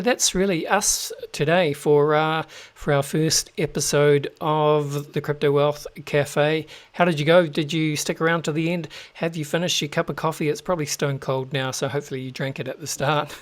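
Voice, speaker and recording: A man's voice: a little high, level tone, moderate pace. A man with a New Zealand accent hosting a show, talking casually to listeners with lots of fillers and restarts. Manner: chatty, many fillers and restarts. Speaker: host.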